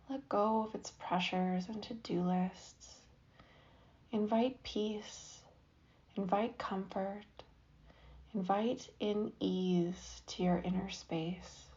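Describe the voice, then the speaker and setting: A woman leads a guided relaxation, speaking very slowly in a soft, soothing manner with long, patient pauses. Her voice is mellow and warm at a middle pitch. The recording is a little dull and lo-fi. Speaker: yoga nidra guide. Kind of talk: guided meditation.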